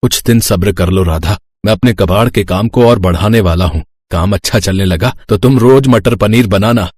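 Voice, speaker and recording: A man's voice: lowish voice, moderate pace, darkish tone, no room sound, natural voice. A man voicing a devoted, reassuring young village husband in a storybook tale, earnest and soothing.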